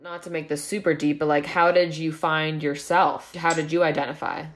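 A woman's voice: sits low, flat delivery, moderate pace, faint music behind. A woman hosting a podcast, asking questions in a friendly, chatty way with casual slang. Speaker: podcast host. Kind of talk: casual interview chat.

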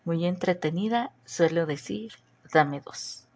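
A woman's voice: lowish voice, slow pace, vocal fry, darkish tone. A woman from Mexico with a mixed Mexico City, Morelos and northern Mexican accent, hosting a chatty podcast and putting questions to her listeners. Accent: Mexican Spanish, mixed Mexico City, Morelos and northern. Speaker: podcast host. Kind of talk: casual podcast monologue.

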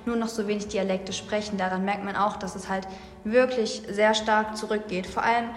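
A woman's voice: mid-range voice, fairly even intonation, moderate pace. Woman with a Saxon accent, casual podcast talker, reverberant room. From Saxony, Germany. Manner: chatty, thinks aloud with fillers. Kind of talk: casual discussion. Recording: echoey room with long reverb.

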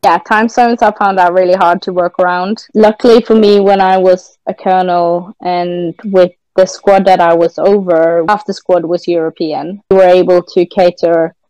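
A Norwegian woman hosts in chatty English with a British lilt and a Norwegian tinge. Her voice sits low for a woman. The sound is dry and close. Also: little pitch movement, moderate pace, hardly any pauses, a little mellow, smooth, no room sound, rough recording. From Norway. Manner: chatty, filler-heavy. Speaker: podcast host. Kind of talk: casual chat.

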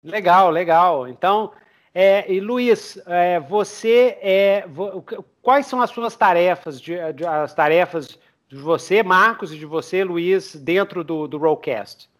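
High-pitched Brazilian man hosting a chat. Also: unhurried. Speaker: podcast host. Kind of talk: casual chat interview.

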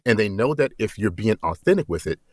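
A man who works as a voice actor, speaking as an interview guest in a relaxed way and often checking in with "you know.". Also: fairly low pitch, brisk, slightly rough, no echo, clean studio sound. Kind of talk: conversational interview.

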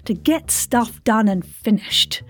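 A woman's voice: on the high side, lively intonation, slow pace. A woman hosting a chatty interview, enthusiastic and appreciative as she asks questions. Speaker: podcast host, interviewer.

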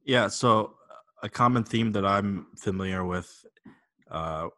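A man's voice: on the low side, slow, bright, forceful tone. A man hosting a business podcast, reading a scripted intro and then asking questions with some hesitation and fillers. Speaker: podcast host.

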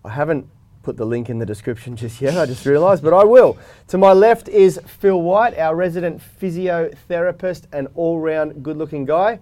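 A man hosting a casual live-stream chat, hoarse from a flu, talking energetically with frequent restarts. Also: fairly high pitch, moderate pace, darkish tone. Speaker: podcast host and gym owner.

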